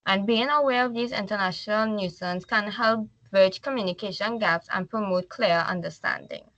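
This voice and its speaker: A woman's voice: mid-range voice, normal speed, fairly bright tone, dry recording, lo-fi sound. A woman from Trinidad with a Trinidadian accent, giving a class slide presentation. She reads from slides, then explains in loose everyday talk.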